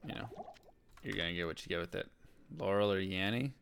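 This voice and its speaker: A man with a low, gravelly voice, chatting easily with his viewers and muttering under his breath as he plays. He sounds relaxed and offhand. There is a clear hiss of noise on the stream audio. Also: fairly quick. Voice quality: deep voice. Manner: relaxed, muttering to himself and chat while playing. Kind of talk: casual gameplay commentary.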